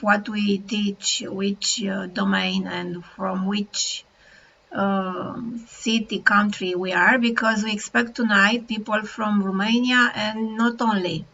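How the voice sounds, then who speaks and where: A woman's voice: mid-range voice, a bit bright. A woman moderating an online webinar in a hesitant way, with many fillers. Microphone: video call. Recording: dull video call audio with faint noise.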